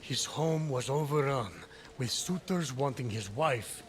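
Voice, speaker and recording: A man playing a wise, formal immortal who narrates Greek myths in a measured, grave manner for a video game. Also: mid-range voice, a little hiss or hum, close to an everyday voice. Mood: grave, storytelling. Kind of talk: scripted narration. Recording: music behind the voice.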